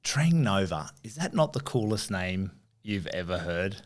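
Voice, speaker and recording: Man, co-host, casual, joking, self-deprecating, very deep voice. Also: very animated, a little slow. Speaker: podcast co-host. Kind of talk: casual chat.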